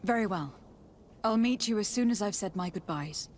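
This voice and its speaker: A woman's voice: medium pitch, expressive, normal speed, slight background noise, lightly acted. An actress playing a poised, confident young noblewoman in a video game, formal and polite. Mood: subdued. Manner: formal, composed.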